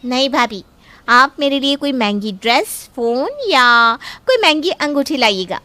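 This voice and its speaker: Woman playing a shrill, spoiled, demanding girl. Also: lively intonation, measured pace, bright, forceful tone, dry recording, full character voice. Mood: demanding, pouty. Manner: pushy, wheedling. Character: spoiled, demanding young sister-in-law, girlish and entitled. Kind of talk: dramatised Hindi story acting.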